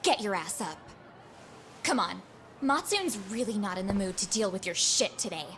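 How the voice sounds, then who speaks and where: Bright, snappish teen mean girl. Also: mid-range voice, sing-song, moderate pace, a little hiss or hum. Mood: taunting. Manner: snappish, contemptuous. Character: mean teenage girl bully, haughty and short-tempered. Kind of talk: English video game voice acting.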